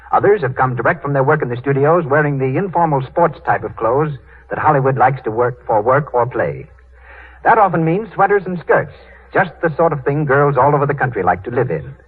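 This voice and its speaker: A man's voice: mid-range voice, slightly rough. A man with an American accent reading scripted announcements and advertising as a 1930s radio announcer. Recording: muffled, narrow 1938 radio broadcast.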